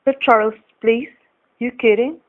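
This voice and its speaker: A woman's voice: fairly high pitch, fairly even intonation, a little slow, slight background noise, close to an everyday voice. A tinny, narrow-band radio play features a woman acting a young motorcycle cop. He is cheerful and folksy, teasing people with mock-formal politeness. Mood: friendly, teasing.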